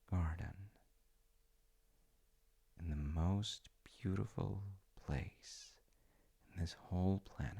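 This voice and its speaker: A man playing a playful, tender wizard fiancé in an intimate ASMR-style audio roleplay, speaking to the listener in a gentle, coaxing way. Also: low-pitched, creaky, breathy edge, exaggerated voice. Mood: tender, hushed. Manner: gentle, coaxing, often dropping into whispers. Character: playful, tender male wizard fiancé showing off magical home.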